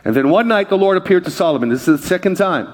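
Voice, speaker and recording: Male pastor, passionate preacher who works the room, pitched a bit high. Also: fast talker. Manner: energetic preaching with crowd call-outs. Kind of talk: sermon.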